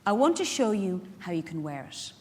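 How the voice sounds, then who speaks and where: A woman's voice: lowish voice, sing-song, moderate pace, a little mellow, slight background noise. An Irish woman actor playing a chatty, frank, wry carer in a theatrical monologue, putting pointed questions to her listeners. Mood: demonstrating, fussy. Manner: conversational, pointed rhetorical questions. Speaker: actor in a dramatic reading. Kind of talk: theatrical monologue addressed to a live audience. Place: large indoor hall at a public event. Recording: echoey hall, live stage reading.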